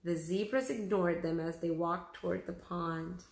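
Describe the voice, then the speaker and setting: A woman's voice: fairly low pitch, steady tone, a little slow, gravelly, darkish tone. A woman teacher reading a children's story aloud expressively, addressing young pupils. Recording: echoey room.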